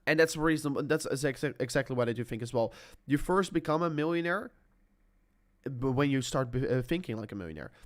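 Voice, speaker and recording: A man's voice: mid-range voice, a little fast. A man in his twenties talking in a rambling, motivational vlog monologue. He fills with "I mean" and "like", repeats phrases and corrects himself often. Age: 20s. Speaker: crypto YouTuber.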